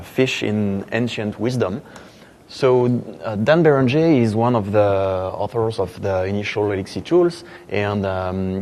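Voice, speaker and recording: A man's voice: lowish voice, a little mellow. A man with a thick French accent giving a conversational technical conference talk, with many fillers and dry self-deprecating jokes. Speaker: conference speaker. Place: conference hall.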